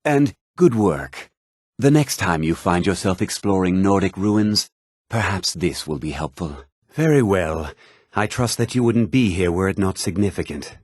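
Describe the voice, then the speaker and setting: Husky, haughty fantasy official. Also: male voice, sits low, lively intonation, darkish tone, no echo, clean studio sound. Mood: measured, approving. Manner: formal, measured, precise, condescendingly polite. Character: haughty, formal middle-aged mage and official, courteous but easily exasperated. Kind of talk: fantasy video game dialogue.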